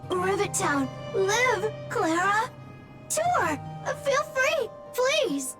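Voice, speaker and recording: A woman voicing a small, simple, loyal robot in a video game, speaking in clipped, halting fragments. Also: very high voice, very animated, over music, full character voice. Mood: eager, inviting. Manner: halting, clipped one- and two-word phrases.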